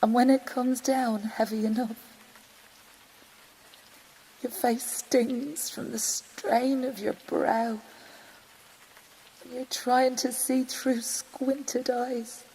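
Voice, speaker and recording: An actress playing a grieving mother in an audio drama monologue, wistful, quietly stoic and often hushed. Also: on the high side, little pitch movement, a little hiss or hum, rough recording. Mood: rising grief. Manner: slow, reflective, often hushed to breathy near-whisper. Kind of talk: intimate audio-play monologue.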